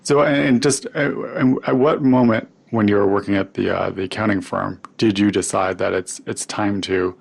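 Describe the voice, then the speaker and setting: A man's voice: fairly low pitch, fairly even intonation, normal speed, creaky, few pauses. A man hosting a conversational podcast interview, talking in an affirming, encouraging way. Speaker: podcast host interviewing a guest.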